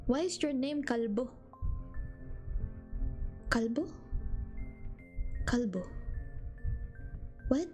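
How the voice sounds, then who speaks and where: A young Filipino woman with a high, bright-pitched voice and a Filipino accent, talking casually to her live chat. She rambles, teases, and giggles easily, while soft music plays quietly behind her. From Philippines. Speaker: streamer.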